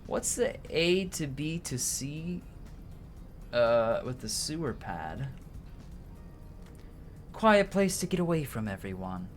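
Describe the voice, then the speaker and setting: A man's voice: mid-range voice, big pitch swings, slow pace, pauses often, faint music behind. A man doing casual let's-play commentary, informal and sweary, reading game dialogue aloud as he plays. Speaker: game streamer.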